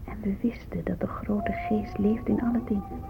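A woman's voice: medium pitch, level tone, moderate pace, vocal fry, background noise, lightly acted. Actress as a grave Native woman, muffled radio. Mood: hushed reverence. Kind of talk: solemn radio drama monologue. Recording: muffled phone-like old radio recording. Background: music.

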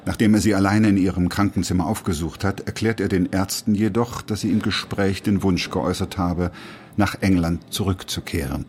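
A man's voice: low-pitched, normal speed, darkish tone, close to an everyday voice. A man reading sober, scripted documentary narration in a radio play.

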